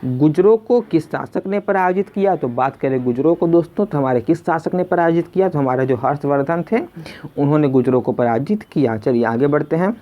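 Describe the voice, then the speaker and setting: A tutor leads a question-and-answer exam lesson at a middling pitch. Questions get restated before the answer, and listeners are addressed as friends. The recording is dull and lo-fi. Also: expressive, fairly quick. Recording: dull, lo-fi sound.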